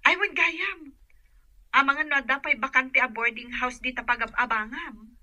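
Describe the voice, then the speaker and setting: High, very bright sweet female cousin. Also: steady tone, measured pace, highs cut off, heavily acted. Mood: friendly. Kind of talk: Ilocano radio drama acting.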